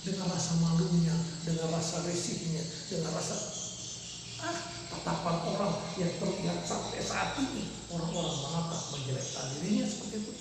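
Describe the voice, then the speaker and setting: A man telling a folk legend in a halting, repetitive oral storytelling manner. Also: on the high side. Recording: echoey room with loud background noise.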